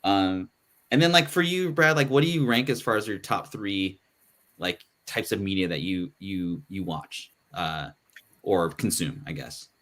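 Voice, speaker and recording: A man with a fairly low voice hosts a casual live comics panel. He rambles a little, restarting sentences and filling pauses as he moves from guest to guest. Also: a bit bright. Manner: loose, rambling, many restarts and fillers. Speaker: podcast host.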